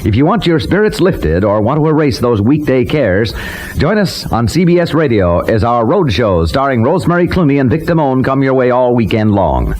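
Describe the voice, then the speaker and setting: Male 1950s radio announcer, jaunty polished promo read, animated pitch, light hiss. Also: normal speed. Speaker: network announcer. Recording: vintage radio with faint hiss.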